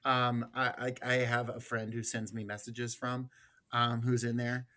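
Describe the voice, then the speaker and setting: A man's voice: mid-range voice, level tone, measured pace, pushed and bright. A man hosting a podcast in casual chat, with an irreverent, wry manner. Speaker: podcast host.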